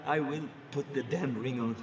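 An actor voicing an affectionate, teasing young husband in a video game, speaking softly and playfully in an intimate dramatic style. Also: male voice, mid-range voice, moderate pace, natural voice. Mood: loving, amused. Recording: echoey, with clear background noise.

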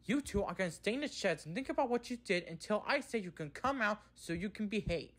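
A stern railway controller from a children's storybook, played by a man, scolds in formal, measured words. The voice is lifted in pitch and firmly authoritative. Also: animated, fairly bright tone.

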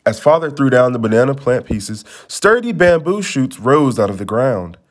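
A man narrates a storybook in a read-aloud style. His voice sits at a medium pitch, and his phrasing is expressive and dramatic.

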